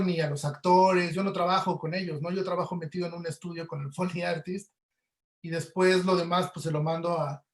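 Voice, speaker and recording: A man with a Mexican Spanish accent giving casual, explanatory interview answers through everyday examples, as a guest audio producer. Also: high-pitched. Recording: roomy, echoey webinar audio.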